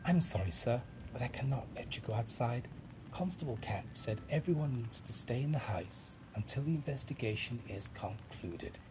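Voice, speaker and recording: An actor playing a genteel, gossipy household character who tells tales in a video game. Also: male voice, medium pitch, expressive, normal speed, noisy background, lightly acted. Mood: apologetic. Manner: genteel, storytelling. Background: music.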